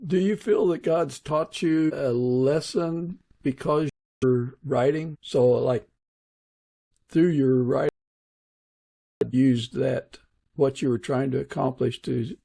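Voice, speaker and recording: Gravelly, husky man hosting a podcast. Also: mid-range voice, slow, dark tone, dry recording. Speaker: podcast host and interviewer. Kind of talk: casual interview and informal prayer.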